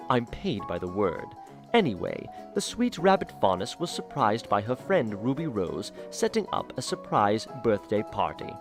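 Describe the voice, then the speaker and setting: A man's voice: fairly low pitch, sing-song, normal speed, over music. A man narrating a fan audio drama with dry, theatrical asides. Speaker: narrator voicing a headmaster.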